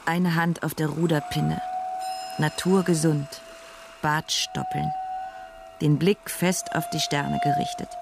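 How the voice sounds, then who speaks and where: A woman narrating a literary radio drama with deliberate, wry commentary. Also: sits low, fairly even intonation, creaky, noisy background.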